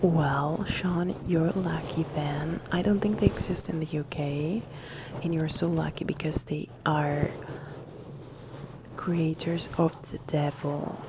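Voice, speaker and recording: Young woman hosting an ASMR stream: soft-spoken and whispery, leisurely pace, heavy noise behind her. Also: low voice, slow. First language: Italian. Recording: loud steady background noise.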